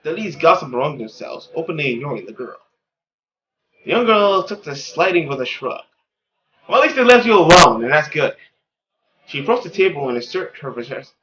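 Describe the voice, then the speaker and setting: A woman's voice: deep voice, very animated, a little fast, a bit bright, dull audio, slight background noise. A woman reading a visual novel aloud as a gaming host, mixing narration with casual asides.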